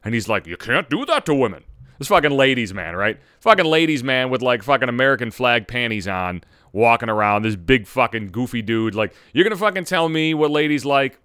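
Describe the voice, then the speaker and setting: A man hosting his own podcast, ranting loudly with heavy swearing after just getting over a cold. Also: mid-range voice, lively intonation, fairly quick, clear, resonant tone, dry recording, clean studio sound. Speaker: podcast host. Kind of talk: profane comedic rant.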